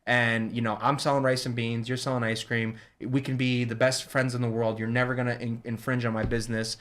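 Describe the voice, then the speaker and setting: Fast-talking man telling a story. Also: medium pitch. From New Jersey. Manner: fast, animated retelling with quoted dialogue. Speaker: guest, ice cream shop owner. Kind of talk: casual storytelling.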